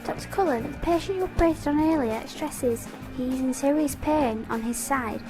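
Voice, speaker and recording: A woman's voice: sits high, moderate pace, over music, close to an everyday voice. A woman playing a dutiful, deferential hospital nurse in a radio drama. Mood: polite, dutiful.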